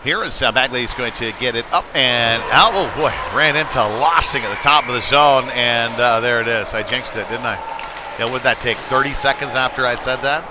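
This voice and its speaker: A man doing live play-by-play commentary of youth hockey in a conversational way that can rise into excitement on big plays. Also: medium pitch, normal speed. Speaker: play-by-play sports broadcaster. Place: ice rink, live broadcast. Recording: noisy arena ambience behind the voice.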